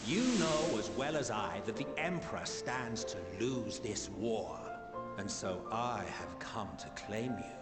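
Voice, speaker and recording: A calm, commanding male emissary of darkness acted by a man from a game cutscene. He speaks formally and slowly, persuasive and imperious, echoing over music. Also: lowish voice, close to an everyday voice. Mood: coolly persuasive. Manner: measured, formal, portentous. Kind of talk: video game cutscene acting. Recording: long echoing reverb under music.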